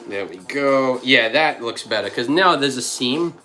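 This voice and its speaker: A man's voice: mid-range voice, animated, measured pace, sharp tone, soft background music. A man hosting a casual livestream, thinking aloud as he builds and answering chat, still with a slight cough and a frog in his throat. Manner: thinks aloud, chatty, reads out chat messages. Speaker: livestream host.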